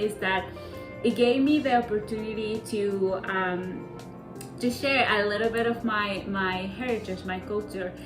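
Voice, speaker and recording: Woman from Ecuador, echoey kitchen. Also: medium pitch. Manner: warm, reflective, with many fillers and restarts. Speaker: community contributor. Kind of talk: cooking demonstration narration. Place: home kitchen.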